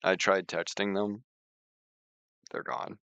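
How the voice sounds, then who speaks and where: A man streaming a casual software walkthrough, explaining things in an informal, rambling way. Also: deep voice, level tone, slow pace, clean tone, no echo, highs cut off. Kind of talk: casual screen-share walkthrough and chat.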